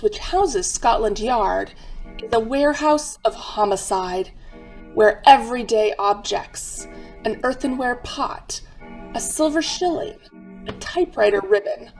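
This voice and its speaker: A woman narrating an old-time radio crime drama as a solemn, macabre museum guide, theatrical and deliberate. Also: on the high side, darkish tone, rough recording. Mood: grim, solemn.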